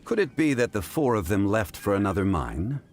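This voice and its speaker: Deep-voiced, composed scholarly gentleman. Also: sing-song, a little hiss or hum. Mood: inquiring. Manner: formal, measured, scholarly. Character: composed, erudite gentleman expert on stones, quietly assured. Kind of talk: video game voice acting.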